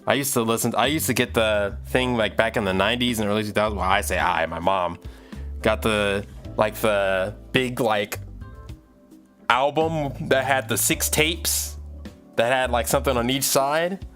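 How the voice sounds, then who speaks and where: A man's voice: mid-range voice, normal speed, a bit bright, soft background music. A man in his thirties chatting casually on a livestream, rambling and thinking aloud as he works. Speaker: live streamer making music. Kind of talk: casual livestream chat while working.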